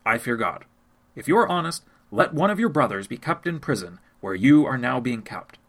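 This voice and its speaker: A man's voice: medium pitch, sing-song, normal speed, fairly bright tone. A man reading the part of a stern male ruler in a Bible passage, raising his voice to deliver commands. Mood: commanding. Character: stern powerful male ruler testing strangers.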